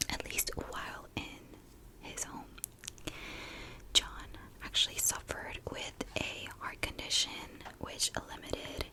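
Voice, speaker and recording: Whispering woman, soft ASMR storyteller. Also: poor audio. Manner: slow, deliberate, repeats words softly. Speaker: ASMR YouTuber, host.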